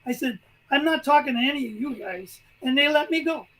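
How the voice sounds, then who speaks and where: An older man with a high voice, telling stories in a rambling, casual way as a guest on a video call. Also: expressive, brisk, fairly bright tone, poor audio. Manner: rambling, restarts sentences. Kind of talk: casual chat, storytelling.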